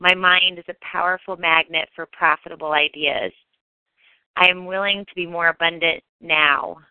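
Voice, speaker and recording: A woman reading scripted affirmations as a meditation guide, in a measured, declarative way. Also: on the low side, fairly even intonation, clean tone, dry recording. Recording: dull, band-limited close dry recording.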